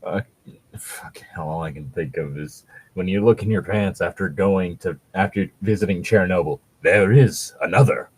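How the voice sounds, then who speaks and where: A man co-hosting a casual podcast, riffing and joking in a profane, giggly, rambling way with a husky voice. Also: low voice, moderate pace, darkish tone. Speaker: co-host of a podcast.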